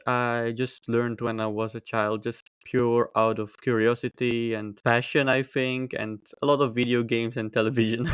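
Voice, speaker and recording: A man's voice: mid-range voice, smooth, no echo, studio quality. A man from Belgium with a Flemish accent, a native Dutch speaker, answering questions as a podcast guest over an online call in a thoughtful way, often restarting. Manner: thoughtful, with frequent restarts and light laughs. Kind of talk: casual interview chat. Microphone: video call.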